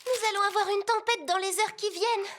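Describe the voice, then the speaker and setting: A woman's voice: extremely high pitch, steady tone, a little fast. A woman voicing a helpful, reassuring young female rescue dispatcher in a children's cartoon. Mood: serious warning. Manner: bright, helpful. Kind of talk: dubbed children's cartoon acting.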